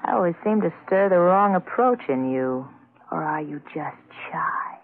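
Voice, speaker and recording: Calculating seductress, coy and teasing, narrow-band vintage radio noir. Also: female voice, fairly low pitch, lively intonation, moderate pace. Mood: seductive, coy. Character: seductive, calculating femme fatale. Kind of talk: 1940s radio noir acting.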